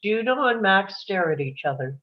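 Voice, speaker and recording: A woman's voice: mid-range voice, big pitch swings, normal speed, muffled recording. A woman reading scripted scene descriptions and stage directions as the narrator of a table read.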